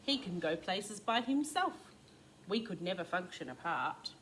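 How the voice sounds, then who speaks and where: A woman's voice: fairly low pitch, big pitch swings, a little slow, a bit bright, a little hiss or hum. A woman voicing a spoon's admiring, envious cutlery friend in a children's storybook, wistful and emphatic.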